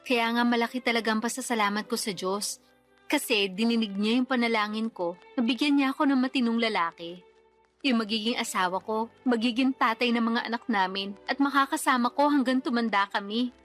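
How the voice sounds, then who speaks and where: A woman's voice: on the high side, a little fast, fairly bright tone, soft background music, lightly acted. A young woman playing a daughter and young mother in a radio drama, polite, earnest and pleading. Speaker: daughter character.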